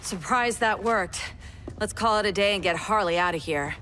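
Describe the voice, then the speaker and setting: An actress playing a tough, loyal superhero fighter in a video game cutscene, firm and wry. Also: female voice, mid-range voice, moderate pace, slight background noise, close to an everyday voice. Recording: voice under loud music.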